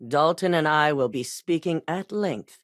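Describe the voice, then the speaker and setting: A middle-aged actress playing a commanding corporate CEO in a video game, curt and businesslike. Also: female voice, deep voice, measured pace, no echo. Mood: controlled. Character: cool, commanding woman CEO of a megacorporation. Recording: dry studio recording.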